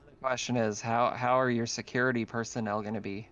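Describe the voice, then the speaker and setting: A man's voice: mid-range voice, steady tone, brisk, a bit bright. A man running a tabletop game, casually explaining the setting and rules to players. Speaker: game master.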